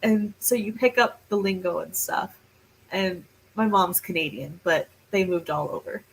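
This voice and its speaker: A woman's voice: on the low side, measured pace. Young North American woman, noisy background. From California, United States. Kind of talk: casual chat. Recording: clear background noise.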